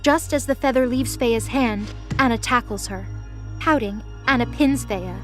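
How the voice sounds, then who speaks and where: A woman's voice: a little high, moderate pace, a bit bright, soft background music. A woman reading scripted, dramatic story narration as the narrator of an audio drama.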